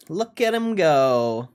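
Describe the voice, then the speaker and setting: A man's voice: on the high side, darkish tone, clean tone. A man livestreaming casual stock commentary, thinking aloud in a blunt, slangy, offhand way with plenty of swearing. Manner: foul-mouthed, blunt.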